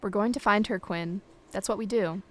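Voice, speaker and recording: An encouraging policewoman acted by a woman in an audio drama. She speaks at a medium pitch, matter-of-fact and brisk, like a supportive partner giving a case briefing. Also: a bit bright, slight background noise, natural voice. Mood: reassuring.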